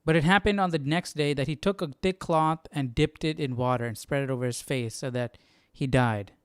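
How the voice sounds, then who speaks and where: A man's voice: medium pitch, a little fast, clean tone, dry recording, studio quality. A man hosting a casual live Bible study, musing and questioning as he thinks aloud. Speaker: host.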